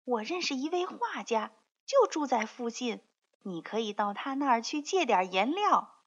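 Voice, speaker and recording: A woman's voice: a little high, very animated, moderate pace, highs cut off, slight background noise, pitched above the performer's own voice. A woman voicing a helpful, friendly little girl in a fairy tale, making a kindly suggestion.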